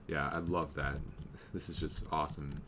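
A young man with a slight cold, casually commenting on his game as he plays and thinking aloud, now and then clearing his throat. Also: a bass voice, flat delivery, moderate pace, background noise. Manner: easygoing, thinking aloud while playing. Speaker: gameplay commentator. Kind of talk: casual let's play commentary.

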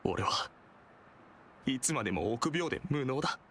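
An actor playing a timid, remorseful young man in a game cutscene, speaking softly and blaming himself. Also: medium pitch, expressive, normal speed, a little hiss or hum, lightly acted. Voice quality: soft, self-reproaching. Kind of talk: Japanese game voice acting.

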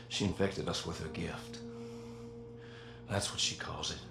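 An actor playing a weary, haunted older father, pleading with slow sighs. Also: low voice, fairly even intonation, gravelly, full character voice. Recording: echoey with loud music.

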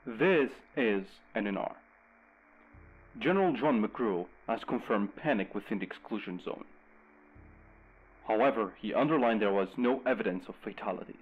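An actor playing a grave radio news host, reading a scripted bulletin in a measured, urgent way over a lo-fi broadcast. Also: male voice, lowish voice, animated, faint music behind, lightly acted. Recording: dull lo-fi radio broadcast sound.

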